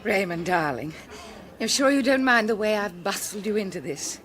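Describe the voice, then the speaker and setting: An actress playing a gushing, affectionate society wife in a classic radio drama. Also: female voice, medium pitch, animated, moderate pace, fairly bright tone, lightly acted. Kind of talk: 1950s-style radio drama acting.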